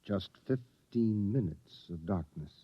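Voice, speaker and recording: A man's voice: fairly low pitch, animated, slow, slightly rough, exaggerated voice. An actor playing an uneasy, skeptical young man with a hesitant, questioning manner, in old-time radio style. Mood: disbelieving. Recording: dull vintage radio recording.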